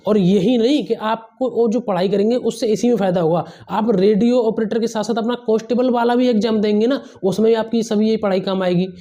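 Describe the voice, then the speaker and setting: A man with a high, soft-edged, mellow voice speaks as an exam coach. He is earnest and emphatic, advising students informally and repeating key phrases to drive them home. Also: a little fast, few pauses. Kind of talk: motivational advice to students, informal.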